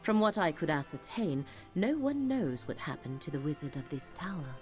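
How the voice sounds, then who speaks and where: Solemn fantasy game seer woman, lowish voice w lots of swing, formal and cryptic, music under it. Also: very animated, normal speed, a little mellow. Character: solemn, mystical woman companion guided by fate. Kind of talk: fantasy video game acting.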